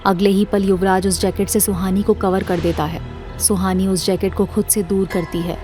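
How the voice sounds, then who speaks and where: A woman's voice: medium pitch, level tone, normal speed, warm tone, faint music behind. A woman narrating a scripted audio drama as its storyteller.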